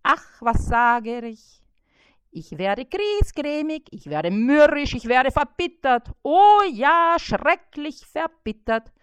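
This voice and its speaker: A woman's voice: sits high, sing-song, slow pace, no room sound, pitched above the performer's own voice, exaggerated voice. A woman voicing a whiny, demanding male fly caught in a spider's web, who nags shrilly and dramatically. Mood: nagging.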